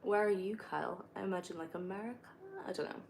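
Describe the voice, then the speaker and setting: A young woman from northeast England with a soft northeastern accent, chatting casually on a livestream while gaming, rambling with many fillers and self-corrections. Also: medium pitch, steady tone, fast, vocal fry, a little hiss or hum. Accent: northeast English, softened. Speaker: livestreamer answering viewer questions. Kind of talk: casual live-stream chat while gaming.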